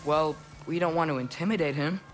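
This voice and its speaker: An actor playing an eager, polite but cheeky teenage son in a video game, informal and inquisitive. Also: male voice, fairly high pitch, a little fast, faint music behind, lightly acted. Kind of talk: dramatic video game acting.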